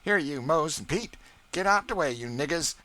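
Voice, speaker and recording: A man's voice: fairly high pitch, lively intonation, moderate pace, bright, forceful tone, no echo, voice pushed up. Aunt Chloe: a man voicing a bossy older woman cook. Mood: bustling proud. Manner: scolding, boastful folksy dialect. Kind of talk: audiobook character voice.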